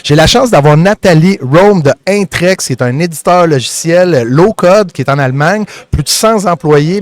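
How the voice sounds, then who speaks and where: A man's voice: fairly high pitch, darkish tone, no echo. A man with a Québécois accent hosting a podcast, talking in a loose, colloquial, chatty way. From Québec. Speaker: podcast host. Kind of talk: casual interview chat.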